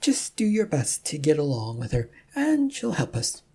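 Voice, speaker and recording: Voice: medium pitch, huge pitch swings, moderate pace, a little mellow, slightly rough, full character voice. A storybook narrator voicing a polite, slightly prim woman guide, courteous with a tart edge. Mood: explaining politely.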